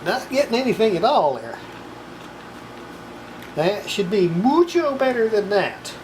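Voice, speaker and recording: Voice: mid-range voice, very animated, measured pace, darkish tone, echoey room, slight background noise, poor audio. An older person narrating hands-on repair work in a casual, folksy way, often muttering to themselves in a small workshop. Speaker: radio restorer presenting own repair. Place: small cluttered indoor electronics workshop.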